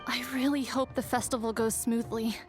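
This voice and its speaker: A woman acts out an earnest young attendant from a video game, with a high voice. She is polite and wordy, hesitating and fretting as she explains herself. Music plays behind her. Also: fairly even intonation, normal speed, lightly acted. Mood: worried, dismayed. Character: flustered young woman serving a noble clan commission, earnest. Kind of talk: English video game voice acting, scripted dialogue.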